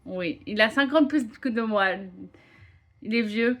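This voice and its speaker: A woman's voice: on the high side, a little slow, fairly bright tone. A woman in her late twenties chatting casually to her viewers as a vlogger. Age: 20s. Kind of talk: casual vlog chat.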